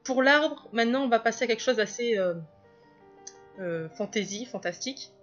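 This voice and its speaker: A woman's voice: on the high side, expressive, moderate pace, muffled recording, faint music behind. Chatty woman narrating her drawing. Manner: chatty, rambling, laughs often. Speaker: illustrator and video host. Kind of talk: casual drawing commentary.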